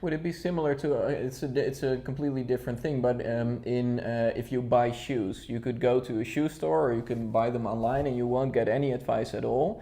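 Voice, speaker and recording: A man hosts a relaxed conversation with a guest. He thinks aloud and feels his way toward his point, often reaching for analogies. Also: medium pitch, quick pace, hardly any pauses. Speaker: host. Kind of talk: casual interview conversation.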